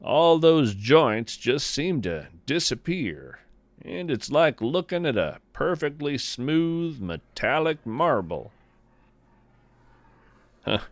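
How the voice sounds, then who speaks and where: A man playing a whimsical, gentle naturalist host, musing in a folksy, wry way through a scripted podcast monologue. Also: medium pitch, dry recording. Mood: musing, fond. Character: whimsical, gentle naturalist host chatting over breakfast at home.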